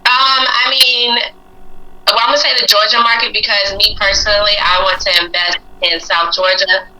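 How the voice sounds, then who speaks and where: Bright-voiced woman, laid-back slang. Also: mid-range voice, steady tone, normal speed, rough recording. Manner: laughing, casual slang. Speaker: guest real estate investor. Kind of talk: casual chat.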